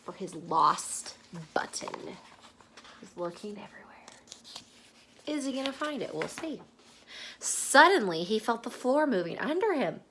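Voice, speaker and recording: A woman reading a children's story aloud expressively, dramatic and dropping into hushed asides to the listener. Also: on the low side, slight background noise. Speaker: storybook reader.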